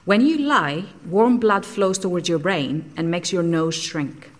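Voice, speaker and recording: A woman's voice: fairly low pitch, a little slow. An actress playing a frank, socially outcast woman scientist in an audio drama, speaking in a blunt, matter-of-fact way. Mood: blunt, certain.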